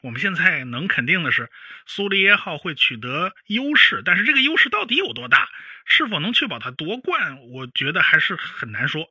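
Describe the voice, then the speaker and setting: A man playing an animated professor of spaceflight, explaining emphatically like a TV expert in a radio drama. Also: high voice, a little fast, husky, no room sound, exaggerated voice. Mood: measured, explanatory. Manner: didactic, emphatic, with homely particles. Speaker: spacecraft chief designer, expert guest.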